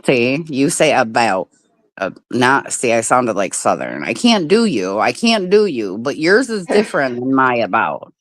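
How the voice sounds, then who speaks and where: Woman from the midwest hosting a stream, chatty and swears a lot, pitch jumping all over, dry sound. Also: very animated, a little slow, no echo. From Midwest United States. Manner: chatty, swears freely, laughs often. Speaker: livestream host. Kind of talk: casual chat.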